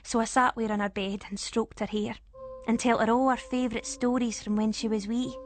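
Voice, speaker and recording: A woman with a Scottish accent narrating a first-person ghost story in a suspenseful, expressive way. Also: on the high side, a little fast.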